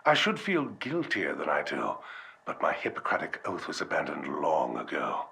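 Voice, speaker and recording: An actor playing a callous, self-serving doctor in a game, dictating research notes in a measured, formal, detached manner. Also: male voice, on the low side, creaky, pushed and bright, exaggerated voice. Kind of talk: scripted game audio-log monologue.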